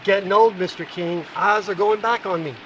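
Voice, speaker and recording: A man's voice: high voice, normal speed, lightly acted. A man playing a grumbling, clumsy ageing sidekick in an old-time radio adventure, with a folksy, clipped delivery. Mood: grumbling, weary. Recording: live stage recording with background noise.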